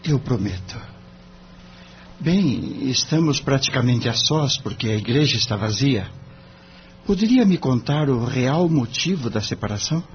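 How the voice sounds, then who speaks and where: An actor with a Brazilian accent playing an earnest young lawyer, polite, formal and gently probing in an audio drama. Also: male voice, medium pitch, lively intonation, a little slow, lightly acted. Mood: gentle, respectful. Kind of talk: audio drama acting in Portuguese. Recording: dull lo-fi audio with clear noise.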